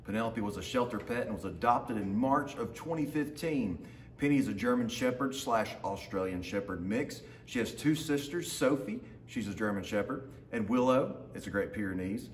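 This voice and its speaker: A man's voice: mid-range voice, animated, moderate pace. A man in his thirties reading a children's picture book aloud to students as their teacher, with short asides to his listeners. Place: large open indoor lounge with hard floor. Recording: roomy echo, faint background noise.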